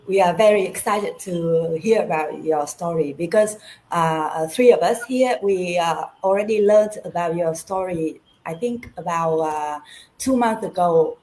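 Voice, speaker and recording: Low-voiced woman co-host, halting. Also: dark tone, low-quality recording. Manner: hesitant, many fillers. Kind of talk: interview conversation.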